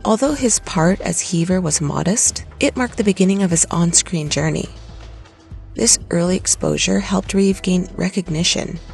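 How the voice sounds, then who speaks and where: A narrator reading a scripted documentary in a steady, even way. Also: medium pitch, moderate pace, vocal fry. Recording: light music underneath.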